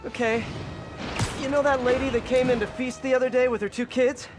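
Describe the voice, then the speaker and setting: An actor playing an earnest, wisecracking young superhero in a video game, with an American accent and a chatty, wry manner. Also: male voice, very high voice, level tone, brisk, over music. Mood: warm, encouraging. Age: young adult.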